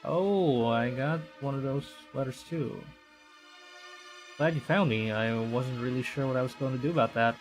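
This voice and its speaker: A man's voice: mid-range voice, moderate pace, soft background music, close to an everyday voice. A man voicing a meek, polite, down-on-his-luck boy in a visual novel, mild and apologetic. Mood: unsure.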